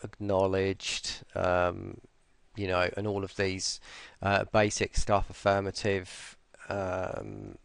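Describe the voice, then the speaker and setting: Low, creaky, tired man. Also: no echo, clean studio sound. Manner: hesitant, rambling, low-key. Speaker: voice pack creator presenting an update. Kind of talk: casual, unscripted software walkthrough.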